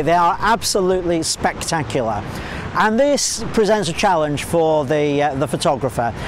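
A man's voice: sits high, a little hiss or hum. A middle-aged man teaching a photography technique outdoors by a waterfall, explaining step by step and thinking aloud with small fillers. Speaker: photography tutor. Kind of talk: outdoor instructional talk to camera. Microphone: clip-on mic, camera at mid distance.

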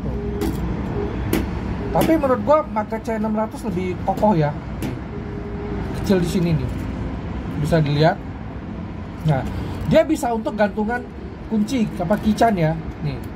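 A woman's voice: medium pitch, normal speed, long pauses, heavy background noise. A woman casually demonstrating flashlights on a table, talking to her viewers like friends. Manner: relaxed Jakarta slang, addresses viewers as friends. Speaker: product reviewer. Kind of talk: casual hands-on product demo.

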